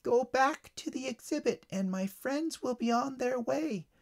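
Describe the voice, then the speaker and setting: A man's voice: extremely high pitch, animated, measured pace, voice pushed up, full character voice. A man voicing a small storybook ladybug that coaxes and insists. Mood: insistent. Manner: coaxing, insistent. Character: small insistent talking ladybug, coaxing telepathic helper.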